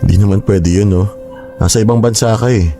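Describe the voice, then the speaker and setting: A man playing a young teacher and proud older brother who turns defensive and quickly flares into shouting, in a radio drama. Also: lowish voice, faint music behind, natural voice. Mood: calm.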